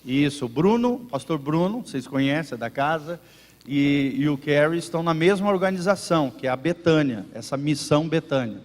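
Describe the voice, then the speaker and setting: A man's voice: on the high side, expressive, moderate pace. A man, the pastor, introducing a guest to his church and interpreting the sermon live, with fillers and repetitions. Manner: fervent, repeats phrases, fillers like "né". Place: church service. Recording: roomy church reverb.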